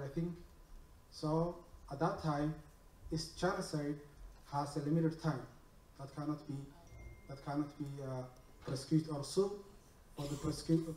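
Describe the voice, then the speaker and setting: A man from Somaliland with a Somali accent, asking a question from the floor in a halting, fragmented, repetitive way. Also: on the high side, warm tone. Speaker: audience questioner. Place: indoor conference room. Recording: echoey room, distant mic, faint noise.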